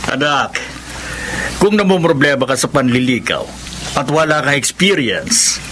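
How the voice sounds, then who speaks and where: A man's voice: a little high, lively intonation, unhurried, pushed and bright. An actor playing a teasing middle-aged father in a Filipino radio drama, speaking with a Filipino accent. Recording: faintly noisy radio drama ambience.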